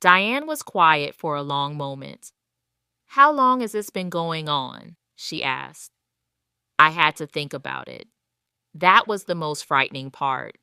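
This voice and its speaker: A woman reading a scripted first-person story as a wronged, retired wife, in a measured, deliberate storytelling manner. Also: low voice, expressive, bright, forceful tone, clean tone, no echo, clean studio sound. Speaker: narrator. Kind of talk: scripted first-person audio drama narration.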